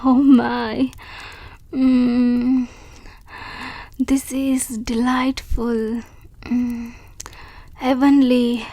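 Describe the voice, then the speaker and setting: A woman voicing a shy, grateful young female wolf creature in an audio roleplay, speaking softly and politely and stammering when unsure. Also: on the high side, fairly even intonation, slow pace, long pauses, no room sound. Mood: awestruck. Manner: soft, polite and hesitant, with stammers.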